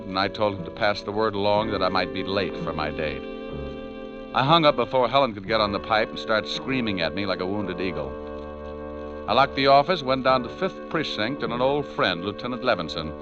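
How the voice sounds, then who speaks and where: A man's voice: lowish voice, natural voice. An actor playing a cocky, glib male private detective with sardonic humour in a 1940s radio drama. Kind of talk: 1940s radio detective acting, dialogue and first-person narration. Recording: dull old radio recording with music.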